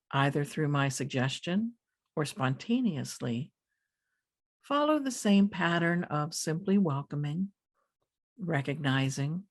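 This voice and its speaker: A woman leading a guided meditation as a teacher, speaking calmly in deliberate phrases with pauses. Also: low-pitched.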